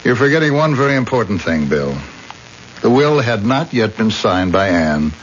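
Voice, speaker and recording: An actor playing a shrewd attorney in a radio drama, brisk and reasoning things out aloud. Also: male voice, fairly low pitch, big pitch swings. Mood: skeptical, probing. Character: brisk, shrewd, reluctant male attorney friend. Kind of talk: 1970s radio drama acting. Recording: muffled, hissy old radio broadcast.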